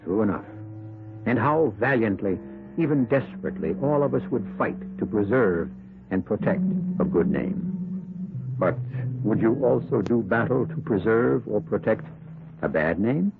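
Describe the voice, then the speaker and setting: A man hosting a radio mystery drama, narrating in a measured, theatrical way with deliberate pauses. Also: fairly low pitch, lively intonation, gravelly, background noise. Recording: tinny vintage radio broadcast, music behind. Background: dramatic music.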